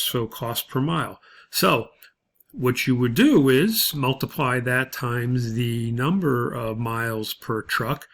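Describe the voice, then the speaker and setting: A man giving a step-by-step tutorial as an instructor, speaking haltingly with frequent pauses and hesitations. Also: medium pitch, fairly bright tone. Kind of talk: spreadsheet tutorial, explaining step by step.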